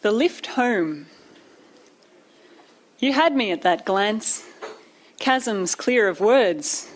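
A woman performing spoken word poetry live, with measured, deliberate phrasing and pauses. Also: medium pitch, lively intonation, slight background noise, poor audio. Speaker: poet. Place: live poetry night venue.

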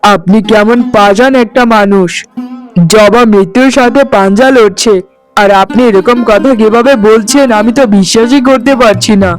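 A man's voice: sits high, moderate pace, faint music behind, natural voice, rough recording. A man voicing a devoted, tender young village husband in a cartoon, earnest, emotional and pleading. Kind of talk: cartoon voice acting in Bengali fairy tale.

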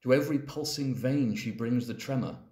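A man's voice: mid-range voice, normal speed, a little mellow. A man reading poetry aloud as a dramatic recitation. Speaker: poetry reader. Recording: echoey, reverberant room.

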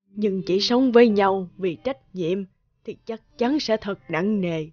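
A woman voicing a gentle older mother who gives soothing, measured advice in a cartoon. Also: mid-range voice, muffled recording. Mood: gentle, grave. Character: gentle older mother counselling her grown daughter.

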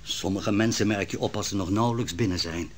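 A man's voice: fairly low pitch, a little fast, a little hiss or hum, close to an everyday voice. An actor playing a royal counsellor fearing for his wife, speaking earnestly and with agitation in a vintage radio drama. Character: royal counsellor, Susanna's anxious husband.

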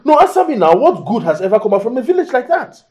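An actor playing an aggrieved, entitled husband in a film drama, with a Nigerian accent and an indignant, repetitive manner full of rhetorical questions. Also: male voice, medium pitch, very animated, brisk, warm tone, close to an everyday voice. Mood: angry, scornful. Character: aggrieved, hot-tempered, entitled husband bound to tradition. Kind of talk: naturalistic film drama acting.

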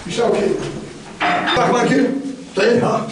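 A very echoey, distant recording of a high-voiced man guiding a tour. He is a non-native speaker and talks in short, choppy phrases, often repeating his instructions. Clear noise surrounds his voice. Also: takes it slowly. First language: not German. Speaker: tour guide. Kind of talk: improvised guided tour talk in broken German. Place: inside an underground concrete bunker. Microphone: visitor's handheld camera, at a distance.

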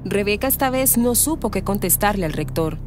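A woman's voice: medium pitch, lively intonation, brisk, music in the background. A woman with a Colombian accent reading scripted narration for a radio drama.

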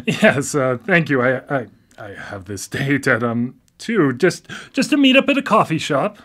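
Male actor as awkward newly blind guy; stammering, wry, lively pitch. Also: measured pace. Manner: hesitant and stammering, wry humor. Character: newly blind man, awkward, self-deprecating. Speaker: lead character in a radio drama. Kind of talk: live radio drama acting. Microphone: studio microphone.

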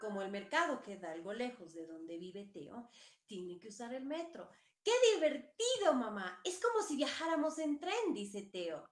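A woman's voice: fairly high pitch, sing-song, moderate pace. A woman reading a children's storybook aloud, acting out its dialogue as a teacher would. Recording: echoey room.

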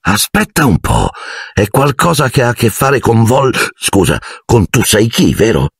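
A man's voice: mid-range voice, huge pitch swings, measured pace, creaky, slightly rough, no echo, clean studio sound, pitched above the performer's own voice, full character voice. A man voicing an earnest, bewildered and insistent young boy wizard in a storybook reading. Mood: urgent.

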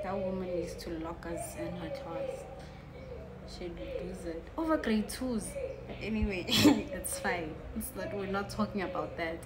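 A woman's voice: on the low side, noisy background. A young woman in her twenties with a South African accent, chatting casually to camera at home and drifting into joking asides. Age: 20s. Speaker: YouTuber. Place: living room at home, indoors.

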